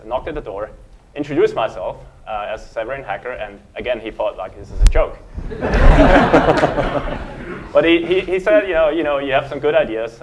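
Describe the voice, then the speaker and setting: A man, giving a relaxed conference talk full of ums and uhs. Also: medium pitch, slight background noise, poor audio. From Switzerland. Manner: relaxed, self-deprecating, frequent um and uh. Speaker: panel speaker.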